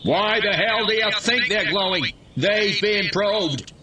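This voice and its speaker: An actor playing a glib, condescending American mayor giving a mock political speech in a comic video game. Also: male voice, high voice, takes it slowly, far from the mic, background noise. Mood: exasperated. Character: glib, smug small-town mayor addressing townsfolk.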